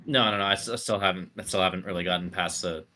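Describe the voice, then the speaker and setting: A man's voice: low-pitched, steady tone, fast talker, bright, forceful tone, a little hiss or hum. A man chatting casually as a player in a tabletop roleplay game, hesitant and restarting his phrases.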